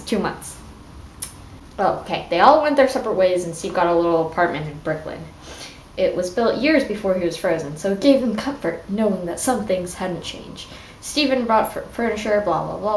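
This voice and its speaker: Snarky woman in an echoey, noisy room. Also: mid-range voice. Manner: snarky, informal, swearing, stumbling over words. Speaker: amateur reader and commentator. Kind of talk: mock-dramatic fan fiction reading with casual snarky asides. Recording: echoey room with clear background noise.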